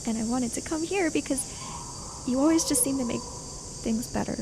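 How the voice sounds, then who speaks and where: A woman playing a tabletop role-playing game, improvising narration and in-character speech in a halting, tentative way. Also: sits high. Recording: noisy track with cricket ambience.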